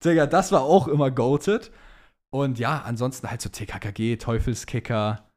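A man's voice: mid-range voice, normal speed, a little mellow. Young man in casual podcast chat. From German, partly raised in Dubai. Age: young adult. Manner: youth slang, emphatic opinions. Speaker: podcast co-host.

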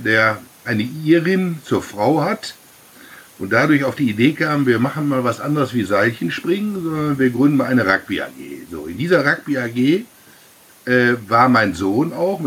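Husky middle-aged man, halting and long-winded. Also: mid-range voice, fairly bright tone. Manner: hesitant, many fillers, long winding sentences. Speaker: rugby club president. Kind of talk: casual interview answers.

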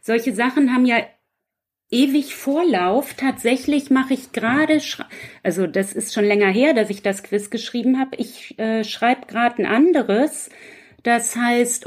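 A woman speaking as a guest author in a casual conversation, chatty and self-deprecating. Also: fairly high pitch. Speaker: guest author and quiz writer. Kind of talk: casual chat.

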